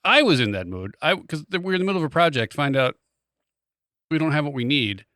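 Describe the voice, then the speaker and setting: Guy podcast co-host, kinda high lively voice, wry griping stories, super dry close sound. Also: brisk, no room sound. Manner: exasperated, acts out dialogue in anecdotes. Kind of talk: casual comic storytelling.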